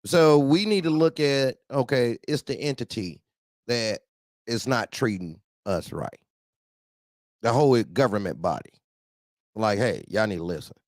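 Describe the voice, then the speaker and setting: A man's voice: medium pitch, expressive, measured pace, pauses often, dry recording, studio quality. An American man hosting a casual, heated talk show, speaking in slangy colloquial language and repeating phrases for emphasis. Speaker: host of a trucker talk show. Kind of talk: casual, heated group chat.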